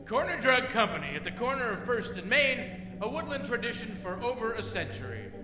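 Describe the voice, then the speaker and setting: A man reading scripted radio play narration with a storyteller's measured phrasing. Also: high-pitched, very animated. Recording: reverberant live hall, music behind.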